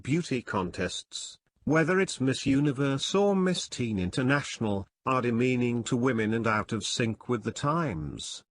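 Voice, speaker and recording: Man, scripted read-aloud, animated pitch movement, dry close sound. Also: measured pace, hardly any pauses, no echo. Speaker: exam practice reader.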